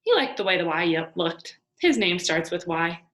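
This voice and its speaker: Woman reading as a gruff, dismissive motel boss. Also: on the low side, sing-song, normal speed, a bit bright, echoey room. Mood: reminiscing, matter-of-fact. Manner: blunt, no-nonsense.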